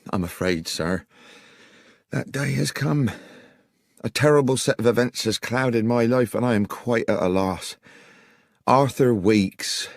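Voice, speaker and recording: Elderly rustic innkeeper, played by a male narrator: deferential, distressed, plain-spoken, low-pitched, close dry sound. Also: dry recording. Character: elderly amiable village publican, distressed and deferential.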